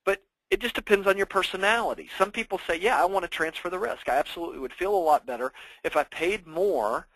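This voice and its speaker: A man's voice: high-pitched, lively intonation, quick pace, fairly bright tone, no room sound, low-quality recording. A man presenting to investors as a financial planner, explaining things informally and conversationally with everyday examples.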